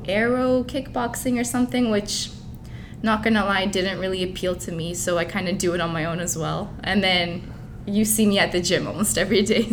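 Young woman guest chatting casually, outgoing, bit of rumbly noise behind her. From Canada and Dubai. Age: young adult. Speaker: interview guest. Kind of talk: casual chat. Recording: clear background rumbling noise.

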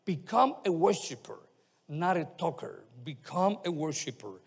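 A man with a heavy Spanish accent preaching a sermon as pastor, speaking haltingly with many restarts, fillers and amens. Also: a little high, very animated, gravelly, breathy edge. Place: church sanctuary. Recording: long reverb of a church hall.

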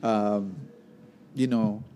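A man's voice: on the low side, fairly even intonation, drawn-out words, dark tone, clean tone. A man with a Spanish accent hosting a casual podcast from a restaurant, joking and playful, often restarting his sentences. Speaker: podcast host. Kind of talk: casual banter.

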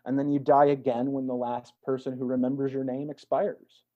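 A man speaking as an interview guest, an actor talking in a thoughtful, reflective way with measured phrasing and emphatic swells. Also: mid-range voice, flat delivery, lo-fi sound. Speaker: podcast guest, stage and voice actor.

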